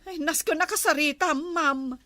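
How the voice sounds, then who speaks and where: Actress as aggrieved Ilocano village woman in comedy drama, very high, sharp voice. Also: normal speed, no echo, studio quality. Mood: sulky, complaining.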